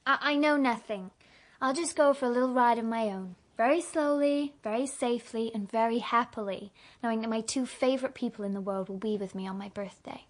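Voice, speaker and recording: High sweet young wife actress, wistful n pleading, playful stubborn streak, radio drama. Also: female voice, fairly quick, close to an everyday voice. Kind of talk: audio drama dialogue.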